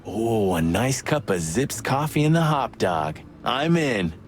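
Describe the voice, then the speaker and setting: A man's voice: medium pitch, very animated, measured pace, a little hiss or hum. An actor playing a playful, joking male bird cop in a video game. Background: game music.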